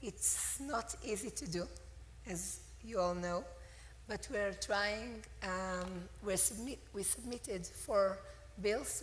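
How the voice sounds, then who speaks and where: A middle-aged woman who has lost her voice, speaking on a conference panel with halting, word-searching English. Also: medium pitch, slight background noise. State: hoarse, losing her voice. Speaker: panelist, legal and government relations lead. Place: conference hall. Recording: echoey hall with faint ambience.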